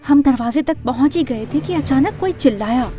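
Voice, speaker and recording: Female narrator for an animated story, high voice, dramatic first-person telling, light music bed. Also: normal speed. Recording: music under the voice.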